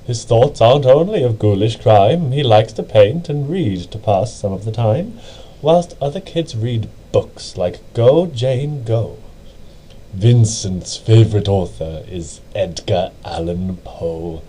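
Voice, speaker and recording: A man narrates dark verse in a low, husky voice. He takes it very slowly and deliberately, with a brooding, theatrical feel to the scripted lines. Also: animated, poor audio. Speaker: amateur reader. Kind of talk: dramatic scripted poem narration.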